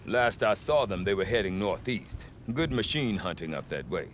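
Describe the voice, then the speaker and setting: Wily, sentimental male merchant for a fantasy game: lowish pitch, very expressive, wry self-justifier. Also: moderate pace, a little hiss or hum. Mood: pleading, animated. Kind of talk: video game cutscene acting.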